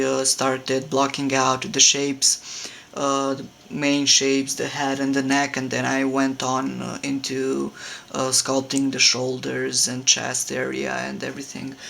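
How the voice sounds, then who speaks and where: A young man with a Romanian accent, a non-native English speaker, talking casually at home about his sculpting process with many pauses and fillers. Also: medium pitch, gravelly, fairly bright tone, a little hiss or hum. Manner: hesitant, many fillers and restarts. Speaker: hobby sculptor. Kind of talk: casual tutorial talk. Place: home room.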